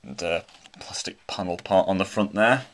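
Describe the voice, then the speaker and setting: Enthusiastic British man, lowish voice. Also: moderate pace, a little hiss or hum. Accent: British English. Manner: enthusiastic, rambling, many restarts and fillers. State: slightly croaky throat. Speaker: model train hobbyist unboxing a locomotive. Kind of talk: casual unboxing commentary. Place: indoors at home, carpeted floor.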